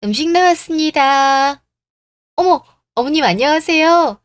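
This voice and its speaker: A woman plays a composed young bride-to-be in a radio drama. The voice is fairly high and polite, recorded very dry. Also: lively intonation, measured pace, dry recording. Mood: bright. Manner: courteous, composed. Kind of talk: Korean radio story drama.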